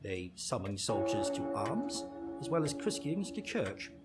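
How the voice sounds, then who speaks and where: An actor playing a cool, incisive English detective in a radio drama, crisp, sardonic and lecturing, with a British accent. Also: male voice, on the low side, big pitch swings, a little slow, over loud music. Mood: erudite.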